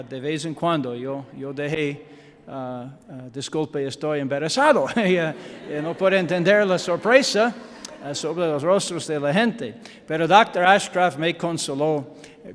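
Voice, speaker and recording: A man with an American accent, a native English speaker, preaching in a church in halting Spanish with English fillers and self-deprecating humor. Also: fairly high pitch, long reverb tail. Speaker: guest pastor. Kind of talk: sermon with Bible reading. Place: church sanctuary.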